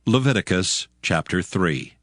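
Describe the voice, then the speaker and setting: A man slowly announcing chapter headings of a scripture reading. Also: low voice, expressive, fairly bright tone, no room sound, studio quality, faint music behind. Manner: slow, deliberate announcing. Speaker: scripture reader.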